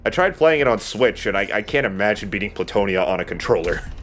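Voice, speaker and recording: Low-voiced man casually talking through a game. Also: lively intonation, fast, fairly bright tone, soft background music. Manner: rambling, sometimes profane. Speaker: let's play host. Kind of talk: casual gaming commentary.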